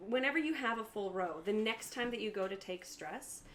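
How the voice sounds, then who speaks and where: A woman casually narrating a tabletop roleplaying game and explaining rules, with an animated way of talking. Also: medium pitch, brisk, a little hiss or hum. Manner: animated, laughs readily. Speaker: game master and player in a tabletop game.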